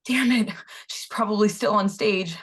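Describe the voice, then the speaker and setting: A woman's voice: mid-range voice, fairly even intonation, moderate pace, a bit bright, breathy edge. A woman playing a terrified young beauty queen in a horror script table read, frantic and pleading. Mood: panicked.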